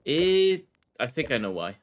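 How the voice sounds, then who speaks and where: A man's voice: on the high side, big pitch swings, unhurried. A man from the Netherlands with a Dutch accent, chatting casually and rambling while streaming a video game, laughing often.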